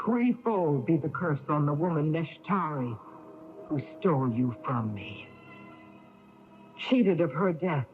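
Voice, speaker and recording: A vengeful man of ancient Egypt, pronounces curses solemnly and very slowly. The voice sits fairly high for a man, with music on a narrow, tinny radio drama recording. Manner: slow, solemn, grandiose declamation. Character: vengeful ancient Egyptian man cursing from his living tomb. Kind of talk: 1980s radio drama acting.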